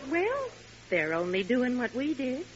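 A woman's voice: high voice, huge pitch swings, a little slow, exaggerated voice. An actress playing a teasing, exasperated housewife and sensible mother in a 1940s radio sitcom, with a dry, chiding manner. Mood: fond reasoning. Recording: hissy, muffled vintage radio broadcast.

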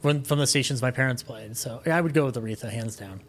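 A man's voice: medium pitch, fast talker. Enthusiastic, rambling man in casual chat. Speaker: podcast guest.

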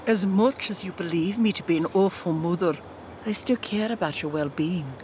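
An actress playing a stern, composed queen and mother, formal, measured and commanding, in a fantasy audio drama. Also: on the low side, darkish tone, a little hiss or hum, close to an everyday voice. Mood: stern concern.

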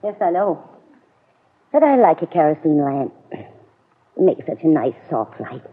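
Kindly farm woman, middle-aged, slightly husky, old-time radio drama, muffled narrow sound. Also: sits low, sing-song, a little slow, full character voice. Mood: calm, mildly puzzled. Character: Aunt Mary, plain-spoken kindly farm woman. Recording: dull, muffled vintage radio recording.

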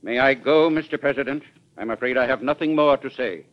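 An actor playing a stubborn, idealistic reformer in a vintage radio drama, formal and insistent. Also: male voice, mid-range voice, a little fast, loud music behind the voice, close to an everyday voice. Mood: stiff, deferential. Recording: noisy, muffled vintage radio recording.

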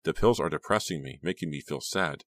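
A man's voice: very deep voice, a little fast, no echo. A man voicing a sad, drowsy teenage girl in an audiobook, timid, weary and plaintive. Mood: sad, anguished.

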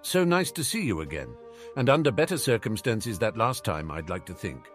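A man's voice: fairly low pitch, sing-song, moderate pace, a bit bright, faint music behind. Man doing a fawning, genial government minister. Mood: warm, effusive.

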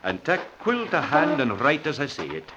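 An actor in an old radio drama playing a smooth-talking, pompous Scottish schoolmaster who woos in florid, poetic phrases. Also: male voice, on the high side, wildly swooping pitch, normal speed. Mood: amorous, poetic. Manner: florid, poetic, theatrical wooing. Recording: muffled old radio recording, hiss, clicks.

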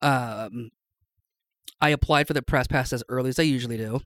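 A man's voice: mid-range voice, moderate pace, a bit bright, dry recording. A man chatting casually on a podcast, telling stories in a rambling way with many restarts. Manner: rambling, self-interrupting storyteller with restarts. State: recovering from lost voice. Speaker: podcast guest. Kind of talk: casual chat.

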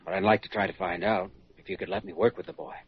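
Earnest, low-voiced young doctor. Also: male voice, steady tone, fast talker, husky. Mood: earnest, thoughtful. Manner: earnest, thoughtful, polite. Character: earnest, conscientious, caring young male doctor. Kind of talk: 1940s radio acting. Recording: dull, muffled old radio recording.

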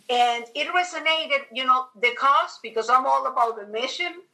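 A middle-aged woman from Venezuela speaks with a Venezuelan accent as a native Spanish speaker. Her voice is bright and fairly high. She talks as a podcast guest in an animated, chatty, emphatic way, telling things conversationally. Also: normal speed, low-quality recording. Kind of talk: conversational personal storytelling in an interview.

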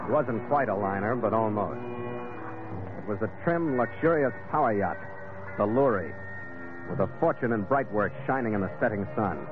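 Actor as clipped sea captain, tinny radio. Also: male voice, lowish voice, animated, normal speed, close to an everyday voice. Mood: measured, wry. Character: steady, terse, wry seafaring captain narrating his ship's log. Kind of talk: 1940s radio acting, scripted first-person narration. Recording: narrow-band old radio recording. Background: dramatic music.

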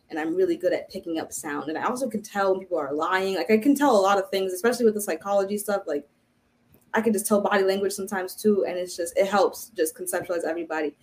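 A woman's voice: fairly low pitch, a little hiss or hum. A young woman from Haiti, a native Creole speaker, chatting casually as a podcast guest in a rambling way, full of restarts and "like". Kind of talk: casual conversation.

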